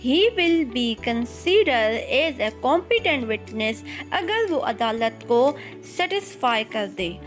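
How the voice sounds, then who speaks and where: High-pitched Pakistani woman reading a lecture. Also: big pitch swings, extremely slow, hardly any pauses, soft background music. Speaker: law lecturer. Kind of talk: scripted lecture, reading aloud bilingually.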